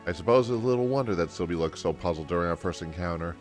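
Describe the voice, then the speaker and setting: A man's voice: deep voice, quick pace, soft background music, natural voice. A man voicing an earnest, courtly video game character who speaks with formal, old-fashioned politeness. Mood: thoughtful, formal.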